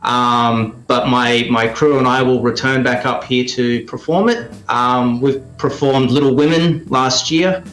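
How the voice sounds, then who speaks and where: A man with an Australian accent giving conversational interview answers in a halting way, as if thinking aloud. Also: mid-range voice, steady tone, fairly bright tone, roomy sound, faint music behind, rough recording. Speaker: radio play producer and performer, interview guest.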